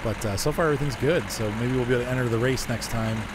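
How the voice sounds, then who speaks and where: Man, hoarse, casual streamer chat, chuckles, mid pitch, game noise behind. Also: racing. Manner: rambling, chuckling, answers chat. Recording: noisy game sound behind.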